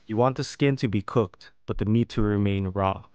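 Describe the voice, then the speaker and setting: A man narrating a fish-cutting and plating tutorial as host, explaining each step calmly. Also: on the low side, normal speed, smooth, dry recording. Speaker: fishing and cooking channel host. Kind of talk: calm instructional voice-over.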